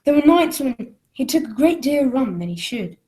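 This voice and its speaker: An earnest narrator played by a woman looks back on a boyhood adventure for a radio play. The voice sits fairly high, and the telling is measured and dramatic. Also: sing-song, mellow. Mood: foreboding. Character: Jim, grown man recalling his boyhood adventure, earnest storyteller.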